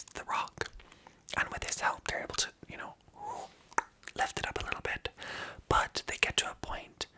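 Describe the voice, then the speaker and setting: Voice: sharp tone, low-quality recording. A storyteller retelling an old legend in a casual, chatty whisper.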